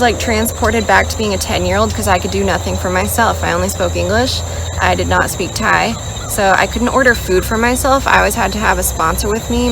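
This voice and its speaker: A young woman in her twenties vlogging outdoors, telling a story in a chatty, animated way and acting out quotes. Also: medium pitch, gravelly, noisy background. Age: 20s. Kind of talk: casual storytelling vlog. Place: outdoors in a rural area. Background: insects and crickets chirping.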